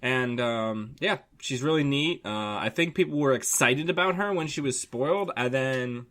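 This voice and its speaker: A man hosting a card game channel, speaking at an everyday mid pitch. He explains card rules in a friendly, casual way, peppered with "uh"s. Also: moderate pace, a bit bright. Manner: friendly, explanatory, filler-heavy. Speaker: channel host. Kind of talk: casual interview.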